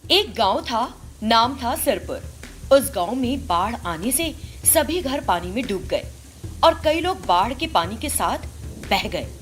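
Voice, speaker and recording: A woman's voice: fairly high pitch, big pitch swings, unhurried, faint music behind. A woman narrator reading a scripted children's story.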